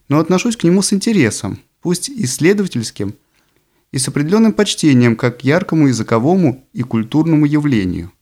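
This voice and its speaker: Man reading a book in measured phrases. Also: a little high, darkish tone. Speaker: audiobook narrator. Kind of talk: scripted book narration.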